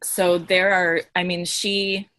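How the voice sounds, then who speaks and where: Low-pitched woman in earnest, emphatic discussion. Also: flat delivery, slow pace, bright, forceful tone, dry recording. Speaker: podcast panelist on a book series team.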